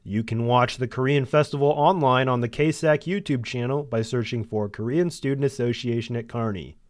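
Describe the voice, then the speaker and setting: A man's voice: medium pitch, normal speed. A young man reading scripted news as a student anchor and reporter. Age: young adult.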